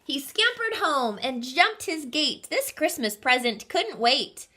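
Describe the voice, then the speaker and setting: A woman reading a picture book aloud to children, expressive and putting questions to her young listeners. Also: sits high, takes it slowly, slight background noise. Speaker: children's story reader.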